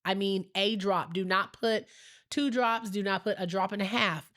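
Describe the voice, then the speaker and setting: A woman's voice: medium pitch, steady tone, moderate pace, a bit bright. A woman co-hosting a podcast in casual chat, rambling and laughing easily, her nose a little stuffy. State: slightly stuffy from a sinus infection. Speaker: podcast co-host.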